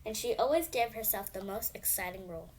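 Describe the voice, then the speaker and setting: A woman's voice: medium pitch, brisk, darkish tone, poor audio. A female reader telling a children's bedtime story expressively, with emphatic phrasing.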